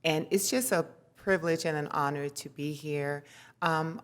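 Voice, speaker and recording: A woman giving heartfelt introductory remarks as a commission member at a city council meeting. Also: low voice.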